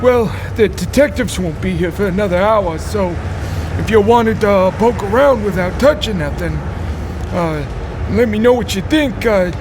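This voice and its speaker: A high-pitched, folksy police officer acted by a man, halting and plain-spoken. It is a comedy audio drama with clear noise behind the voice. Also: expressive. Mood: hesitant. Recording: noisy outdoor-sounding background.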